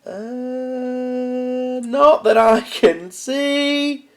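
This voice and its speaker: A man's voice: very high voice, steady tone, drawn-out words. A young man with a cold, chatting casually and apologetically to camera, full of fillers and restarts. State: stuffy with a cold. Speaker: miniatures hobby video host. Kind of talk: casual unboxing talk to camera.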